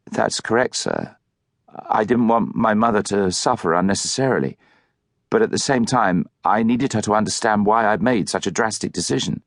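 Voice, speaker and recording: Man playing earnest young Englishman, low-voiced. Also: normal speed, vocal fry, no room sound, studio quality. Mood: earnest, respectful. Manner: earnest, articulate, formal.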